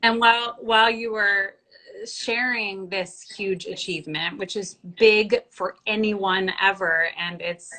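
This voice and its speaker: Bright, enthusiastic woman host. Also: fairly low pitch, rough recording. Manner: enthusiastic, encouraging, praising. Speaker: interview host. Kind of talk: live social media interview.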